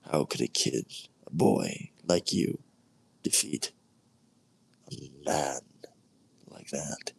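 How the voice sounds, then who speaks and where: A man's voice: a bass voice, animated, slow pace, creaky, pauses often, slightly rough, in a whisper, heavily acted. A man voicing a weary king who doubts a boy can win. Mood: doubtful.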